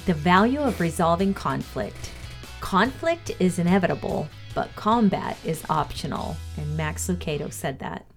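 Lower-pitched woman reading a motivational lesson. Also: expressive, moderate pace, soft background music. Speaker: co-host reading a values lesson. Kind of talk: read-aloud motivational lesson.

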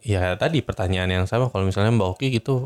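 A man's voice: low voice, fast, darkish tone. A male radio host chatting casually with a co-host, loose and slangy in his speech. He jokes along and laughs easily, keeping the talk light. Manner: slangy and playful, laughs often.

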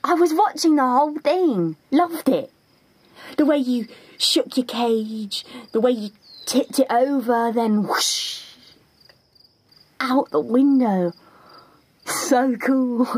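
A woman's voice: high-pitched, slow, long pauses, darkish tone, breathy edge, heavily acted. A woman playing an eager, naive, starstruck budgie in an audio drama, gushing and wide-eyed. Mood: admiring. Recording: music behind the voice.

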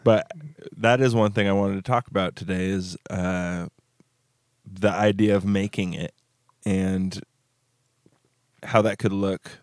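Deep-voiced man, wry podcast chat. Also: slow pace, no echo, clean studio sound. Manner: relaxed, wry, thinks aloud with fillers. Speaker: podcast co-host. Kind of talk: casual chat.

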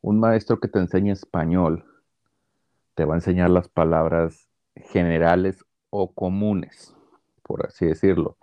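A man's voice: low voice, fairly even intonation, measured pace, dull audio. A man with a Mexican accent, a guest teacher explaining things casually, with frequent fillers like "este" and "o sea".

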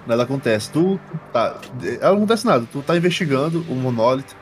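A man's voice: medium pitch, expressive, fast talker, faint music behind. A man with a Brazilian accent, improvising narration as game master in casual, slangy speech. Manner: informal, uses slang and swearing.

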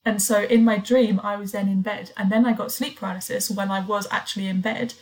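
A woman's voice: mid-range voice, fairly even intonation, a little fast, poor audio. Woman podcast host telling a long story, lots of likes, dry jokes. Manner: storytelling, dry teasing, laughs mid-sentence. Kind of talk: casual chat.

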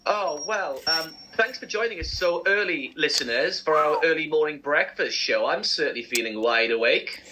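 A man's voice: on the high side, moderate pace, hardly any pauses, a little hiss or hum, poor audio. A man in his forties co-hosting a casual breakfast radio show, ranting and laughing as he talks. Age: 40s. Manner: ranting, animated, laughs often. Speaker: radio co-host.

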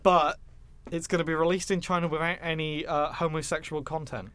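Higher-pitched man chatting about films. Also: level tone, brisk, fairly bright tone, clean tone. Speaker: podcast co-host. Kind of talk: casual film news chat.

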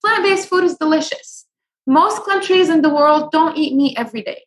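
A young woman from Syria speaks in a high, lifted voice. She gives earnest, practical advice as an interview guest, with frequent ums and small restarts. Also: moderate pace. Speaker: guest, food blogger. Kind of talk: conversational interview.

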